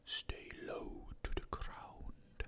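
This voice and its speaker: A person whispering the lines of a regal, calm lion king and father with a firm, measured manner.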